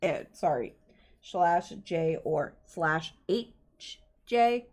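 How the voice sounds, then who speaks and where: A woman's voice: on the low side, animated, drawn-out words. A woman livestreamer chatting casually with her co-host and viewers, explaining things politely. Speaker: game livestreamer. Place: indoor streaming setup at a computer.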